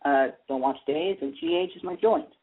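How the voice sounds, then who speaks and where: A man chatting casually and wryly as an opinionated panelist on a fan talk show, with a husky voice. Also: a little high, normal speed, a little hiss or hum. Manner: opinionated, wry. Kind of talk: casual soap opera fan chat. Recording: narrow tinny phone-line sound.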